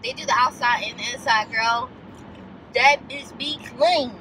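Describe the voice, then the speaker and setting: A woman in her twenties with an American accent, chatting casually in an animated, slangy way. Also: fairly high pitch, a little slow. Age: 20s. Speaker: vlogger's friend and passenger. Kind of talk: casual chat. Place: inside a moving car. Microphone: dashboard camera. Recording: noisy moving car, distant mic.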